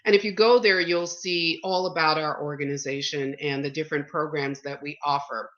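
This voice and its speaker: A woman's voice: low voice, fairly even intonation, moderate pace. A middle-aged woman from Guyana with an American accent, a panel guest talking enthusiastically with many fillers over a video call from a small room. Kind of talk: casual video-call interview. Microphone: laptop webcam on a video call. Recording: dull, lo-fi video-call audio.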